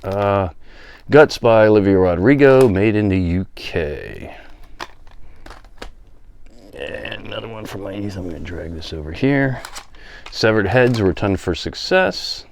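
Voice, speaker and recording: A man's voice: low-pitched, slight background noise. A middle-aged man giving casual, unscripted show-and-tell commentary, with offhand muttered asides to himself. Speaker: vlogger showing a music collection.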